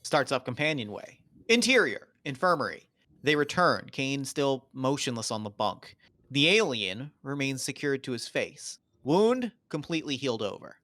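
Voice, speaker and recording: A man's voice: mid-range voice, sing-song, moderate pace, bright, forceful tone, no echo. A man doing scripted narration, reading out the stage directions of a screenplay at a table read.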